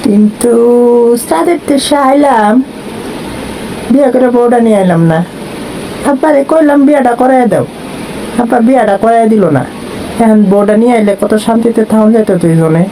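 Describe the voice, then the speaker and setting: Over clear background noise comes a high-pitched cartoon simpleton, played by a woman. He is a dreamy, boastful young village man with a Bangladeshi accent. His delivery is whiny, childish and exaggerated. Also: normal speed, pauses often, warm tone, natural voice, low-quality recording. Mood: wistful. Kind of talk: comic cartoon dubbing.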